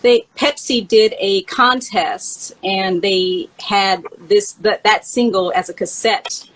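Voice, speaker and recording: A woman's voice: medium pitch, steady tone, slow, low-quality recording. A woman hosting a casual livestream chat in an enthusiastic, chatty way. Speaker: host. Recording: clear background room noise.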